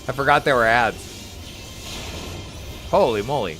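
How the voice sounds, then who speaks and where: A man's voice: medium pitch, a little slow, fairly bright tone. A man chatting casually while live streaming a game, giving loose commentary as he plays. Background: game music.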